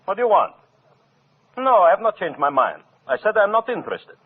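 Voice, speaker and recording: An actor playing a haughty, jealous older orchestra conductor in a brusque, formal 1940s radio style. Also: male voice, high voice, big pitch swings, a little fast, slightly rough, full character voice. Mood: imperious irritation. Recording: tinny, muffled vintage radio recording.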